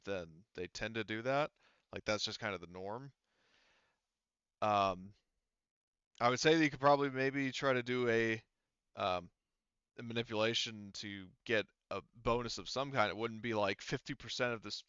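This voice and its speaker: A man acting as game master, improvising narration for a tabletop roleplaying game with halting, hesitant phrasing. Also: on the low side, a bit bright, clean tone, no echo, highs cut off.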